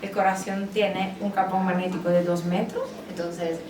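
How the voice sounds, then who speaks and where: A woman's voice: fairly low pitch, level tone, moderate pace, reverberant. Italian-accented woman, hesitant speaker, public talk, distant mic, light background noise. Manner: hesitant, many fillers and restarts. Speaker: psychologist and author. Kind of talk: book presentation talk. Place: bookstore event space.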